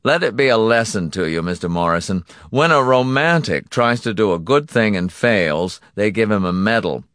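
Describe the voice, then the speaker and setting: A man voicing a politely menacing male boss in an audiobook, indulgent and condescending, with drawn-out, mock-courteous phrasing. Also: fairly low pitch, animated, fairly bright tone, no room sound, clean studio sound, voice pushed up. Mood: weary, lecturing. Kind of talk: audiobook character acting.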